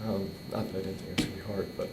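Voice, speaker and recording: Halting, emotional young man in echoey room. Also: flat delivery. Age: young adult. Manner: halting, repeats words. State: emotional, struggling to keep composure. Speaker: eulogist at a memorial, nephew of the deceased. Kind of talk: personal, informal eulogy. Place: indoor hall. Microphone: microphone on a boom stand. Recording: roomy echo, faint rumbling noise.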